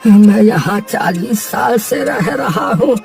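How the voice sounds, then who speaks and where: Frail, ailing old father done by a male actor, high and very slow, gentle cartoon melodrama, music behind. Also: animated, creaky, exaggerated voice. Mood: grateful. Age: older. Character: sick, frail elderly father, grateful and mild. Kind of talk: melodramatic Hindi cartoon voice acting. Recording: music under the voice.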